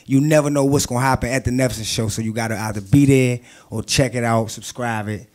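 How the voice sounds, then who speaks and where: A man's voice: medium pitch, steady tone, fast talker, a little mellow. A man speaking as a guest promoter on a casual show, laid-back and repeating himself. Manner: laid-back, repeats "you know what I'm saying". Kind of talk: casual talk-show interview.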